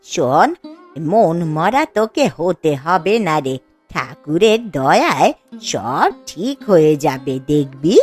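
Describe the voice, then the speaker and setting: A woman voicing a caring older village mother in a cartoon, gentle and coaxing. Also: low voice, very animated, slow pace, breathy edge, faint music behind, full character voice. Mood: concerned. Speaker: cartoon character.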